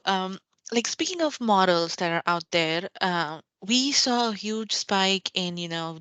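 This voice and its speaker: A woman hosting a podcast, asking interview questions in a relaxed, friendly way. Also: fairly low pitch, clear, resonant tone, no echo, low-quality recording. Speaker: podcast host.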